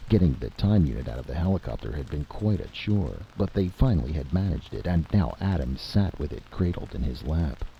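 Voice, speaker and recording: A man's voice: rumbling low, expressive, brisk, gravelly, few pauses, warm tone, highs cut off, slight background noise. A man narrating a scripted story in a dramatic storytelling style.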